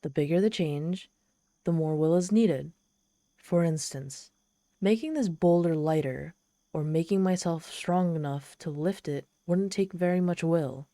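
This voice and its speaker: A woman's voice: low voice, measured pace, dark tone, no echo, clean studio sound. A woman playing a proud, desperate, socially awkward trapped demon in a scripted ASMR roleplay, speaking haughtily and directly to the listener. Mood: patient, explaining.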